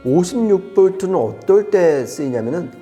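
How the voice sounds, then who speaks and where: Man, mellow timbre, lively intonation, emphatic explainer, conversational audio reviewer. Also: sits high, moderate pace, soft background music.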